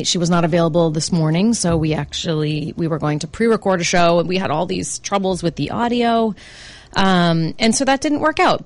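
A middle-aged woman hosting a talk radio show, slightly hoarse and chatty, with many fillers and restarts. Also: fairly low pitch, no room sound. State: slightly hoarse, under the weather. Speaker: radio show host, financial planner. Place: radio studio. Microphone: studio microphone.

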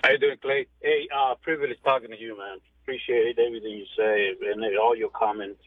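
A man's voice: on the low side, fairly even intonation, dry recording. Man, 50s, from Cuba, radio caller, rambling, phone-line sound, dry. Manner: friendly, rambling, halting with fillers. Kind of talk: phone-in call to a radio show. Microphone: telephone.

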